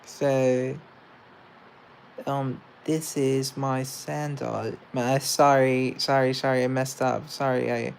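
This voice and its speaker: A man's voice: medium pitch, level tone, takes it slowly, creaky, slight background noise. A man chatting casually on a livestream, reading comments aloud and answering them with self-mocking humor. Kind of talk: casual live chat.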